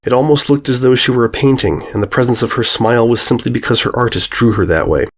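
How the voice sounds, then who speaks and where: A man's voice: mid-range voice, quick pace. A man narrating a scripted story as its reader.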